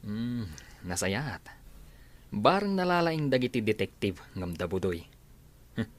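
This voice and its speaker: A man's voice: lowish voice, big pitch swings, measured pace. An actor playing a sardonic male accomplice in an Ilocano radio drama. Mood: dry, conniving. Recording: studio drama over clear background music.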